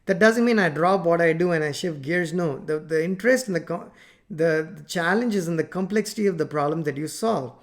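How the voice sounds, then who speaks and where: A man in his forties whose first language is Tamil, talking unscripted to a webcam from a home office about software work, rambling with many fillers and restarts while gesturing. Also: a little high, fairly quick. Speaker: software company founder teaching coding. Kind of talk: unscripted talk to camera. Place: home office with plant and photos on wall.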